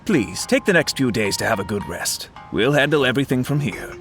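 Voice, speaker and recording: A man playing a courteous, authoritative editor in a video game, speaking formally and deliberately. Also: medium pitch, sing-song, fairly bright tone. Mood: courteous, approving. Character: courteous, measured, authoritative male publishing editor. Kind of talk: scripted game dialogue. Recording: music behind the voice.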